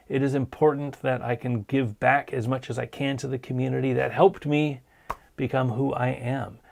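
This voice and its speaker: A man hosting a casual live-stream show, hoarse from a sinus cold, talking in an earnest, encouraging way. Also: medium pitch. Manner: earnest, encouraging, plain-spoken. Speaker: podcast host.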